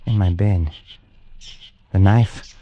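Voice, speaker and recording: An actor playing a guilty, evasive adventurer who grows frightened, speaking hesitantly and defensively in old radio thriller style. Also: male voice, low voice, slow pace, mellow, lo-fi sound, slight background noise. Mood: shaken, frightened. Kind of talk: 1940s-50s radio thriller acting and narration. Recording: vintage radio recording with music.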